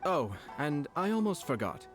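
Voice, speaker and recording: An actor playing a polite, composed young lord in a video game, speaking in a measured, formal way. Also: male voice, on the high side, sing-song, over music. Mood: calm, commanding.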